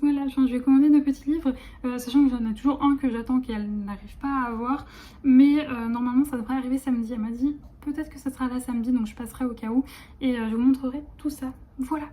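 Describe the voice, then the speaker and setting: Woman, late 20s, casual vlogger; rambling, filler-heavy talk, warm dark tone, phone recording. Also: high voice, fairly even intonation, fast talker. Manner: chatty, rambling, many fillers and restarts. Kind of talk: casual vlog chat.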